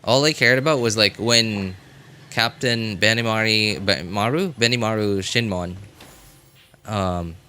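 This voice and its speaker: A man's voice: on the low side, unhurried, pushed and bright, slight background noise. A man who is a game streamer, giving casual live commentary and thinking aloud in a rambling way. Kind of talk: casual livestream gaming commentary. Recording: music behind the voice.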